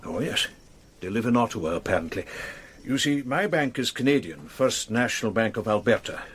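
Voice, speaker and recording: Lively-pitched, businesslike male bank manager. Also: fairly quick, slightly rough. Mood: earnest, explanatory. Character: prim, talkative male bank manager reporting suspicions. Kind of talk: radio drama acting.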